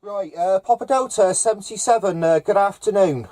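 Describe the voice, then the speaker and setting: A man with a hesitant, halting delivery, making casual CB radio contacts with call signs and jargon. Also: sits high, heavy background noise. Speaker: amateur CB radio operator running contacts. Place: camper van up in the hills.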